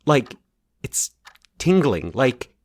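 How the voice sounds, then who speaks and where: A man voicing an anxious, halting man confessing a recurring nightmare in a horror audio story. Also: mid-range voice, sing-song, no echo, studio quality, pitched above the performer's own voice, heavily acted. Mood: frightened, pleading. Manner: nervous, halting, stumbling over his words. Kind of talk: dramatized horror audio fiction.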